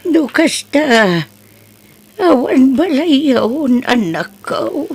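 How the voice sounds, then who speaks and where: A woman's voice: high-pitched, sing-song, very slow, husky, faint music behind, full character voice. A woman playing an ailing older mother who is loving and tearful, in an amateur audio drama.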